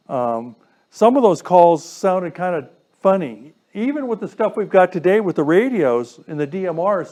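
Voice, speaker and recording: A man's voice: high voice, a little mellow. A man presenting an informal lecture in a conversational way, often checking in with his listeners. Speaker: class presenter. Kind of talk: informal lecture to a radio club.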